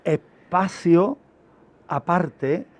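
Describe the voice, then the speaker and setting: A man's voice: high voice, extremely slow. A man with a Spanish accent from Spain giving an informal, didactic radio explanation as an expert guest, repeating phrases for emphasis.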